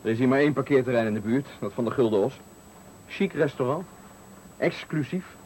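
Radio thriller role: male actor as sly, probing stranger, measured and knowing, faintly noisy 1980 recording. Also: medium pitch, a little mellow, close to an everyday voice. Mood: sly, insinuating. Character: prying, sly stranger at a night bus stop.

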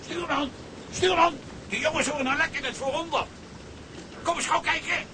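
An actor playing an excitable, jeering sailor calling out in a Dutch radio drama. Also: male voice, normal speed. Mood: agitated. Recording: distant from mic, rumbling noise.

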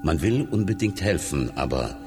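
An actor playing a blunt, hardened surgeon in a radio drama, wry and matter-of-fact. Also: male voice, deep voice, very animated, normal speed, long reverb tail, music in the background. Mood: detached, reflective. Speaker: surgeon and hospital doctor.

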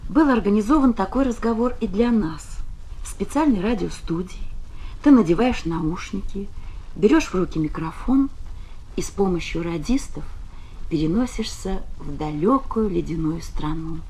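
Husky-voiced woman presenting for children. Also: mid-range voice, lively intonation, moderate pace, a little mellow, low-quality recording. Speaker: presenter of a children's audio magazine. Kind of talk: scripted narration for children.

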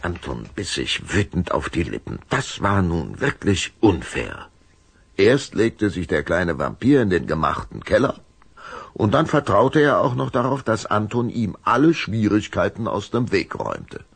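A man with a gravelly voice narrating a scripted audio drama for children. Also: lowish voice, big pitch swings, measured pace, no echo.

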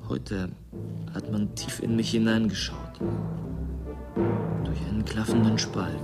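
An actor playing a grave, strange, wounded youth who speaks in plain, solemn, measured statements in a German radio drama. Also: male voice, fairly low pitch, fairly even intonation, over loud music. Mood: grave, forlorn.

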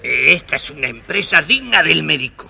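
A blustering old artisan father from a children's storybook record. His voice is sharp and forceful with a gravelly edge, swinging widely as he scolds and scorns, over background noise. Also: a little high, big pitch swings, moderate pace, full character voice. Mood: proud, scheming. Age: older. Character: harsh, scolding old artisan father favoring one son. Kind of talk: children's audio story acting.

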